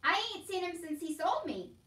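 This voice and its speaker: A woman's voice: very high voice, very animated, moderate pace, sharp tone, voice pushed up, heavily acted. A woman voicing a hard-of-hearing twelve-year-old servant girl from a storybook, blunt, rustic and full of hopeful wonder. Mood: puzzled, blunt. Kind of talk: storybook read-aloud character voice. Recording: roomy echo, distant from mic.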